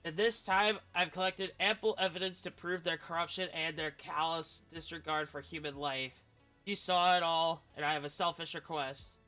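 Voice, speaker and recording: A man's voice: on the high side, steady tone, normal speed, pushed and bright. A man who streams games, reading story text aloud and adding casual commentary. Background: video game music.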